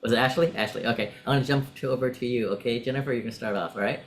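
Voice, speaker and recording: Brief Male coach, mid-pitched, directive yet encouraging, on a video call. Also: rapid-fire, a little hiss or hum. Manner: directive, encouraging, explains step by step. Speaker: interview coach. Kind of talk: coaching session.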